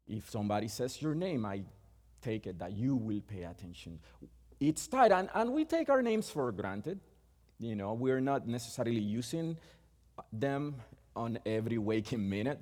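A middle-aged Puerto Rican man whose first language is Spanish gives a sermon in a Puerto Rican accent. He talks haltingly, with frequent restarts and fillers, at a middle pitch. His voice rings out with a long reverb, as in a big hall. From Puerto Rico. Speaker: preacher.

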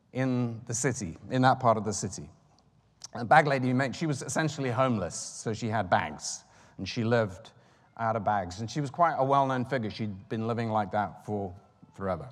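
A man's voice: medium pitch, long reverb tail. A man in his fifties preaching a sermon in a chatty, self-deprecating way, with rambling asides. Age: 50s.

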